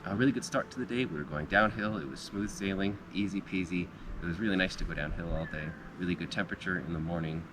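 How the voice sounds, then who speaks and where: A slightly hoarse man giving a thoughtful, upbeat end-of-day recap at an outdoor backpacking campground. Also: deep voice. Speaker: backpacking vlogger. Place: outdoor backcountry campground by a river. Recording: outdoor recording with clear background noise.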